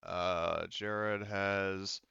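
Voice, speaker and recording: Low-voiced man running a tabletop game. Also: fairly even intonation, drawn-out words, vocal fry, sharp tone, clean tone, no echo, dull audio. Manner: hesitant, thinking aloud. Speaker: game master. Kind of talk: casual tabletop game narration with friends.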